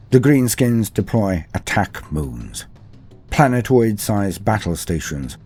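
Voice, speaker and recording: A man giving a measured, dramatic scripted narration of a fictional history. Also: low voice, mellow. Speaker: lore narrator.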